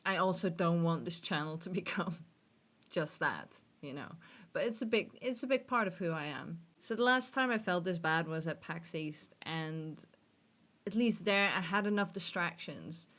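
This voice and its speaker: Woman in her thirties, fairly low voice, earnest, self-deprecating, candid vlog to camera. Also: normal speed, a bit bright. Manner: earnest and open, with self-deprecating laughs and restarts. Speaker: vlogger. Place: dim room, seated in a gaming chair.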